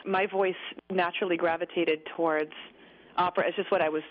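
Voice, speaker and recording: A woman guest on a radio interview, rattling off stories at a brisk clip. Her manner is chatty and animated, and she laughs easily. The broadcast sound is a little dull and muffled. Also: lowish voice. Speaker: guest opera singer. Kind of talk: radio interview, casual storytelling.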